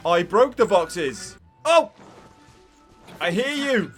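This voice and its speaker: A man's voice: sits high, sing-song, slow pace, a bit bright, soft background music. A man streaming a video game live, reacting playfully and casually with lots of exclamations.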